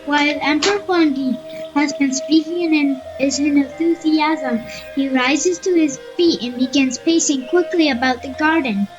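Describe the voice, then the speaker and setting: A woman's voice: high-pitched, unhurried, lo-fi sound. A woman narrating an audio play in a scripted storytelling style. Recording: faint music behind the voice.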